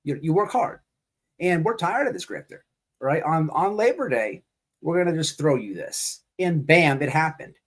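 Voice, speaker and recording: A man delivers casual livestream commentary with very lively, swinging pitch. He mocks his subject, repeats himself for emphasis, rambles and swears freely. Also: moderate pace. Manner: profane, repetitive, rambling.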